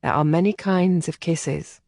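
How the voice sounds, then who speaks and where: A woman narrator voicing a composed, caring female companion who speaks gently and matter-of-factly. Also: lowish voice, steady tone, a little slow, dry recording, clean studio sound. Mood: gentle. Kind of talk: audiobook character voicing.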